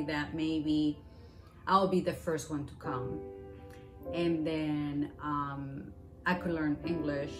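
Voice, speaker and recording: A woman from Venezuela whose first language is Spanish, earnestly telling her migration story in long run-on sentences. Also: low-pitched. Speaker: interviewee. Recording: music playing under the voice.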